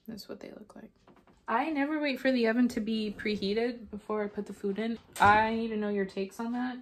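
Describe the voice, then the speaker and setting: A young woman chatting casually in her home kitchen, telling dramatic stories in an animated way. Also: medium pitch, a little hiss or hum. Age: young adult. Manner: animated, dramatic storyteller who breaks into song. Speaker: vlogger. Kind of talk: casual storytelling chat. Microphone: phone camera propped on counter.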